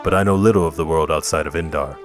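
Guy doing a deep low young warrior, grave and protective, faint music behind. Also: fast talker. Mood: solemn. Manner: grave, plain speech. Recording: music bed underneath.

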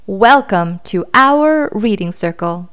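A woman's voice: medium pitch, lively intonation, takes it slowly, fairly bright tone, smooth. A woman hosting a read-aloud for young children, addressing her listeners directly and asking them questions. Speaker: children's story reader and host.

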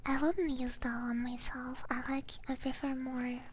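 A woman whispering hushed, casual ASMR chat to viewers, halting and close on a binaural microphone. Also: high voice, flat delivery. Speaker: ASMR streamer. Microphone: close binaural ear microphone. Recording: clear background noise.

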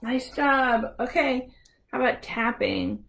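A woman's voice: fairly high pitch, slow, gravelly, background noise. A woman hosting a storytime for young children, talking simply and encouragingly to toddlers. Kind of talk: interactive children's storytime with songs and rhymes.

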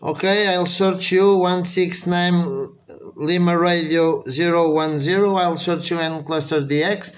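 Slow, high-pitched Portuguese man on radio. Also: steady tone, fairly bright tone. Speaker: amateur radio operator. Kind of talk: radio contact exchange with phonetic spelling.

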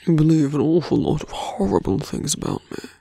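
Guy doing a smug fantasy villain asmr, whispery n teasing, gravelly, super close dry sound. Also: a little high, lively intonation, dark tone, dry recording. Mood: smug, persuasive. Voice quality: breathy, often whispering. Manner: slow, languid, taunting. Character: seductive, teasing fantasy villain man, smugly menacing. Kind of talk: ASMR roleplay voice acting.

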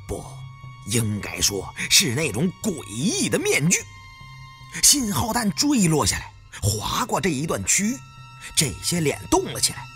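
A man's voice: a little high, fairly bright tone. A man narrating a first-person adventure audiobook in a dramatic, suspenseful, theatrical manner. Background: faint background music.

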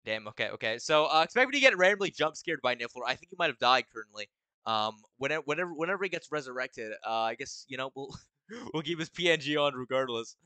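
A man with a deep voice chatting casually on a live game stream, rambling and stop-start, laughing often. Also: animated, fast talker, sharp tone, no room sound. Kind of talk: casual live-stream chat while gaming.

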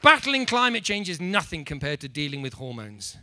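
A middle-aged man with an English accent giving a playful, animated comedy talk to a room on a handheld mic. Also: fairly high pitch, normal speed, a faint crowd, slight background noise. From England. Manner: animated, playful, mock-apologetic. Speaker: speaker. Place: conference room.

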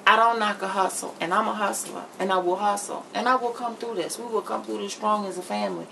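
A woman's voice: medium pitch, steady tone, fairly quick, vocal fry, pushed and bright, low-quality recording. A middle-aged woman chatting candidly and emphatically to camera as she vlogs from her home kitchen, in a blunt way. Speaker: vlogger. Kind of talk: candid chit-chat to camera while cooking. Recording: noticeable background noise in a kitchen.

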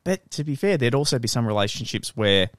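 A man's voice: big pitch swings, brisk, no room sound. Young australian man, casual chat, dry close mic, swears freely. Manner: swears freely, gets loud and high when joking. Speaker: podcast co-host. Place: living room podcast set. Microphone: studio mic on boom arm.

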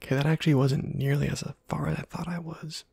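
A man giving casual, playful ASMR game commentary in a hushed, breathy half-whisper, muttering to himself close to the microphone. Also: on the high side, fairly even intonation, fast, dry recording. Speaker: gaming video host.

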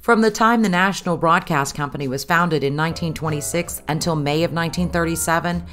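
A woman with an American accent reading scripted documentary narration. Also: on the low side.

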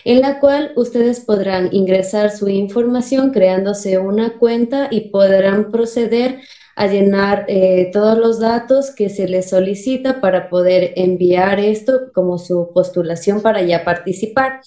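A young woman from Ecuador answers as an interview guest, with an Ecuadorian accent. Her voice is mellow and soft-edged at a medium pitch. The video-call sound is roomy and echoing. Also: a little slow.

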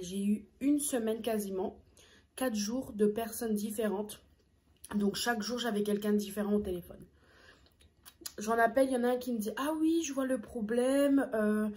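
A woman's voice: mid-range voice, dark tone. A young woman telling a story casually while eating on camera, chatting with lots of hesitant fillers, in a small room close to the camera. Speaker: food vlogger.

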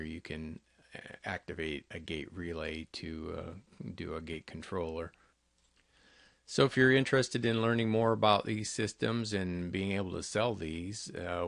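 A man with a very low voice talks through products like a company representative. His delivery is conversational and halting, with a gravelly creak. The sound is close and dry, as in a screen-recorded walkthrough. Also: fairly bright tone, no room sound. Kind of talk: informal narrated product walkthrough.

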